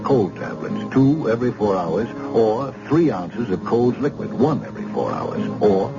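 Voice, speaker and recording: A man announcing a radio commercial in a brisk, matter-of-fact way, on a dull old broadcast with music. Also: medium pitch, animated.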